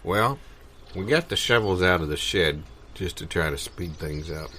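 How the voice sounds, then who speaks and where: A man's voice: sits low, lively intonation, normal speed, pushed and bright, slight background noise. An actor playing a stubborn, blunt, unbothered backwoods man in an improvised comedy sketch. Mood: matter-of-fact. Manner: blunt, obstinate.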